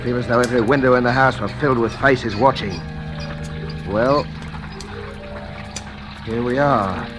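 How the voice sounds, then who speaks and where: An actor playing an agitated ex-convict plotting a cover-up, in 1940s radio suspense style, on an old recording. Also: male voice, mid-range voice, a little slow, long pauses, over music, lightly acted. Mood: tense, furtive. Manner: agitated muttering to himself, theatrical. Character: panicky male ex-convict plotting to cover up a killing.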